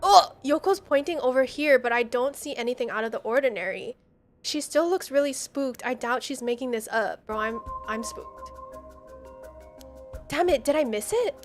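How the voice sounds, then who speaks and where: A woman's voice: high-pitched, expressive, moderate pace. A woman game streamer playing a horror game live, reading text aloud and reacting in a dramatic, slangy way. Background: game music.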